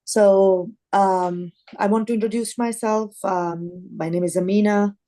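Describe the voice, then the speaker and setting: A woman from Pakistan, a restaurant owner presenting on a video call, halting and full of "you know" fillers. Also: mid-range voice, steady tone, mellow.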